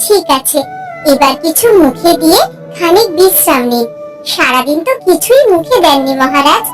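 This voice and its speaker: Gentle cartoon queen, very high, soothing, music clear behind; woman voice actor. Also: moderate pace. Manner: calm, soothing and attentive.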